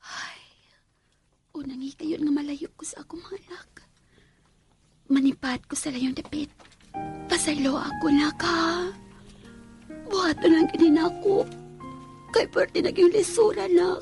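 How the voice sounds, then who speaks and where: A woman's voice: sits high, measured pace, long pauses, a little mellow, soft background music. An actress with a Cebuano Filipino accent playing a poor, self-pitying young mother in an emotional, pleading radio drama style. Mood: sorrowful, tearful. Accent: Filipino, Cebuano-speaking. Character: poor self-pitying young mother leaving her children for maid work. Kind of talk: Philippine radio drama acting.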